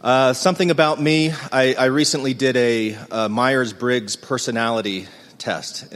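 A man's voice: medium pitch, fairly bright tone, in a large hall. A man giving a casual conference talk, rambling with hesitant fillers and restarts in a self-deprecating way. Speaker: conference presenter. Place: conference room.

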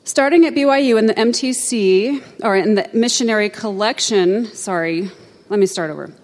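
A woman's voice: mid-range voice, long reverb tail. A woman moderating a conference session, reading formal announcements and a speaker introduction from a script. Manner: formal, reads from a script, brisk. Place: conference room.

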